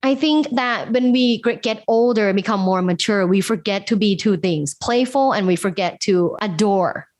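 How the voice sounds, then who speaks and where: A woman's voice: medium pitch, normal speed, hardly any pauses, a little mellow. A woman from Thailand, a guest sex educator, talking candidly in a casual interview, with lots of fillers and frequent laughs. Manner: candid, chatty, laughs often, many fillers.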